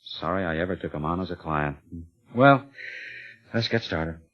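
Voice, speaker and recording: An actor playing a brisk, curt lawyer and boss in vintage radio drama style. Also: male voice, low voice, animated. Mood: blunt, businesslike. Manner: businesslike, curt. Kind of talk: 1940s-50s American radio drama acting. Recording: muffled vintage radio recording, music behind.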